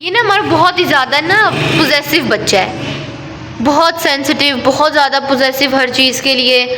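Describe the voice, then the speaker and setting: A woman's voice: sits high, moderate pace, noisy background. A woman narrating a casual home vlog in a chatty, affectionate way, often talking to her cats. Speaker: vlogger.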